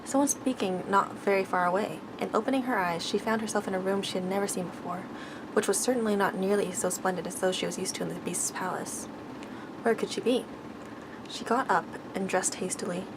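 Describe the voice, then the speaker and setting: Woman, soft ASMR storytelling, creaky fry, gentle and measured, noisy recording. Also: medium pitch. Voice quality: soft-spoken. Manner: gentle, measured, stumbles over words while reading. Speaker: ASMR host reading aloud.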